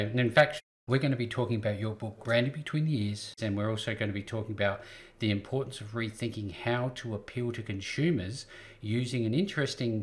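A man hosting a business interview podcast, reading an upbeat show intro and asking his guest questions. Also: fairly low pitch. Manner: upbeat, fast promotional delivery with light laughs. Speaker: podcast host.

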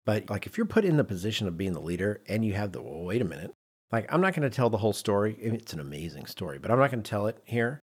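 Middle aged guy chatting on a podcast, kinda low husky voice, acts out quotes as he goes. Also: big pitch swings, fast talker, darkish tone. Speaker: podcast host. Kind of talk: casual podcast discussion.

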